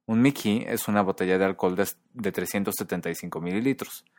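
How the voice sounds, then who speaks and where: A man hosting a podcast who explains things in a measured, scripted narration. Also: deep voice, darkish tone, no room sound, studio quality. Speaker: podcast host.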